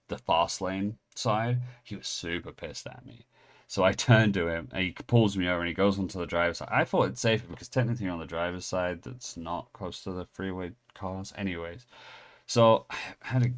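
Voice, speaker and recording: Low-voiced Englishman with a half-American lilt. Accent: English, partly Americanized. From England. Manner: rambling, self-mocking, restarts often. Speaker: pack-opening channel host. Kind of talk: casual storytelling and card unboxing.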